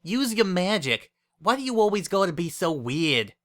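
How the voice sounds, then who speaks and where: A man's voice: high-pitched, sing-song, moderate pace, fairly bright tone, voice pushed up. A man voicing a haughty, scornful young female pony with a pompous, sniffy manner in a dramatic story reading. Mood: snippy, demanding.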